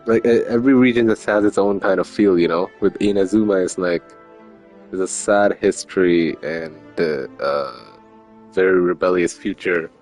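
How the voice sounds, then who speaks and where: A man's voice: low-pitched, normal speed, a little mellow. A man streaming a game with casual, rambling, slangy commentary. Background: faint game music.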